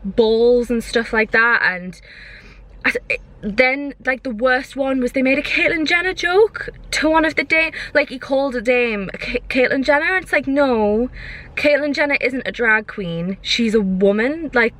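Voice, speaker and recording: A woman's voice: sits high, moderate pace, a bit bright. A young woman chatting casually for a vlog, airing her opinions in an exasperated, emphatic way and repeating herself. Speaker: vlogger.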